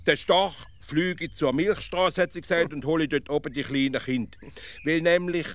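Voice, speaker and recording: Man as nagging, deadpan Swiss provincial. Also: on the high side, moderate pace, close to an everyday voice. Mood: insistent. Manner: deadpan, muttering echoes of what he hears. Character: nagging, suspicious, literal-minded provincial male everyday philosopher. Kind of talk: deadpan radio comedy dialogue.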